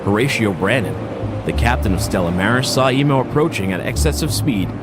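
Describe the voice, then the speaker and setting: A man giving a steady, measured documentary-style narration. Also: on the low side, over loud music.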